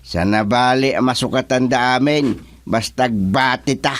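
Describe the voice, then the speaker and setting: An actor with an Ilocano Filipino accent playing a jolly, secretive older village chairman in a radio comedy, with drawn-out delivery. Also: mid-range voice, close to an everyday voice. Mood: grumbling. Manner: chuckling, drawn-out, exaggerated delivery.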